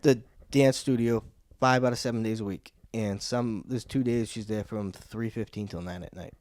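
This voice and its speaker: A man's voice: fairly low pitch, moderate pace, dry recording. A man, a podcast guest who tells stories with energy and acts out the voices of the people in them. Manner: animated storyteller, acts out voices. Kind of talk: casual chat.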